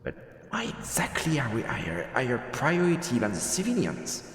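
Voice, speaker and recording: A man's voice: mid-range voice, wildly swooping pitch, normal speed, fairly bright tone, roomy sound, in a large hall. A man streaming a game, switching between let's play commentary and reading the story text aloud.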